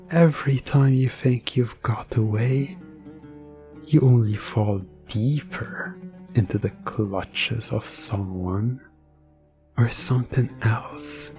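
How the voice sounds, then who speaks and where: A man's voice: medium pitch, creaky, a little mellow, pitched above the performer's own voice, full character voice. A man playing a theatrical, teasing storyteller who narrates a suspenseful audio drama serial. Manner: theatrical, drawn-out suspenseful questions.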